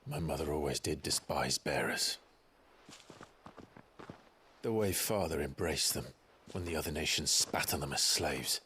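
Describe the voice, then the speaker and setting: A man's voice: rumbling low, a little slow, pauses often, sharp tone, slight background noise, heavily acted. A man playing a brooding, resolute young nobleman warrior in a video game, grave and earnest. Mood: grave resolve. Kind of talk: video game cutscene acting.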